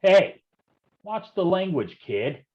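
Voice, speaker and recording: A man's voice: high voice, very animated, takes it slowly, darkish tone, muffled recording, exaggerated voice. An actor playing a wisecracking, down-at-heel noir private detective in a stage reading, with slangy tough-guy talk. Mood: scolding. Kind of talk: noir stage acting with narrated monologue.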